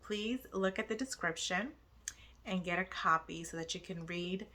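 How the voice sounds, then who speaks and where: A woman narrating a children's storybook aloud, with expressive, deliberate phrasing. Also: lowish voice. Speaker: children's book read-aloud host.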